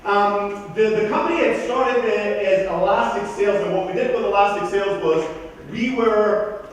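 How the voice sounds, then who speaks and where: A man in his thirties from Greece, raised in southern Germany, giving a blunt, profane, energetic keynote talk at a conference. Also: sits high, moderate pace, slight background noise. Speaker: conference speaker. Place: large conference hall. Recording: echoey hall from far off.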